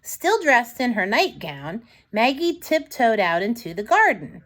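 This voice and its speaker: Woman, children's storytime reader, highly varied intonation, unhurried pace, expressive read-aloud, deliberate dramatic phrasing. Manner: dramatic, deliberate storybook delivery with asides to listeners.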